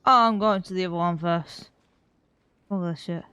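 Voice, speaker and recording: A woman's voice: on the low side, moderate pace, bright, forceful tone, smooth, no room sound. A woman game streamer giving casual, off-the-cuff live commentary as she plays. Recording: slightly dull stream audio, faint music. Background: game music.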